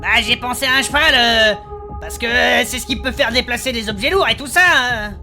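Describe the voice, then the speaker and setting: A woman's voice: on the high side, moderate pace, bright, forceful tone, faint music behind. A woman playing a hapless, earnest and affectionate male adventurer in a comic fantasy audio drama. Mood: defensive.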